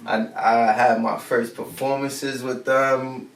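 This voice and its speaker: A laid-back young man from Jamaica talks through his start in music in a low-key, gravelly voice that barely rises or falls. His slang-heavy chat comes from a mic set back in a roomy studio, with faint hiss underneath. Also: flat delivery, slow pace, recorded from a distance. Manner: laid-back, peppers talk with "feel me" and slang. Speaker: rapper guest. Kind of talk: casual interview chat. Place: studio set with brick backdrop.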